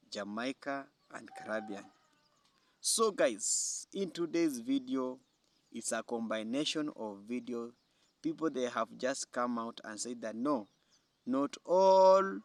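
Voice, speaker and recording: A man presenting casually as a reaction video host, with halting, non-native English phrasing. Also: medium pitch, no room sound.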